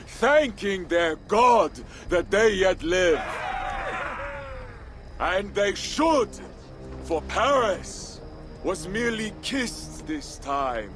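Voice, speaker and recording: A man's voice: high-pitched, fairly bright tone, exaggerated voice. An actor playing a proud, mocking middle-aged Viking warlord in a video game cutscene, declaiming his taunts.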